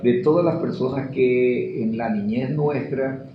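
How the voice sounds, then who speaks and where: A man's voice: mid-range voice, measured pace. A man in his sixties with a Colombian Caribbean accent, answering interview questions in an anecdotal, conversational way. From Caribbean river region of Colombia. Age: 60s. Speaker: interviewee, sociologist and teacher. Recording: muffled, echoey, noisy room recording.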